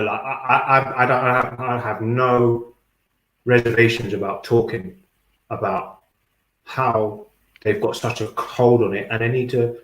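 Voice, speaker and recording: Man rambling casually in an echoey room. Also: medium pitch. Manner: rambling, halting, slangy street talk. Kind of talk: casual chat. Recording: very echoey, roomy sound.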